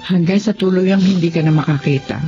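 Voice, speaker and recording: A woman playing a concerned, loyal middle-aged friend in a radio drama, earnest and expressive. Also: lowish voice, a little fast, lightly acted. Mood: consoling. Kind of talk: Tagalog radio drama acting. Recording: muffled radio drama audio with music.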